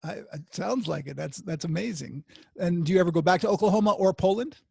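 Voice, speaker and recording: Gravelly-voiced man hosting a chatty interview. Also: high-pitched, no room sound. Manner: chatty, asks quick follow-up questions. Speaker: podcast host. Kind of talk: casual interview.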